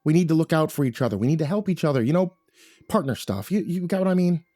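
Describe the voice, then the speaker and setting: A man's voice: fairly high pitch, expressive, fast, dark tone, no room sound, faint music behind, pitched above the performer's own voice. A man voicing a timid, eager-to-please male worker in an audiobook, hesitant and pleading. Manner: pleading, stammering, chirpy. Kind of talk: audiobook character voice.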